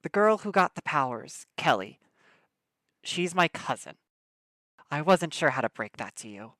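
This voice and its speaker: A woman's voice: low voice, big pitch swings, measured pace, a bit bright, no echo, studio quality, heavily acted. A woman playing a polite, awkward young apartment dweller in an audio drama, with a hesitant, natural delivery. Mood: apologetic.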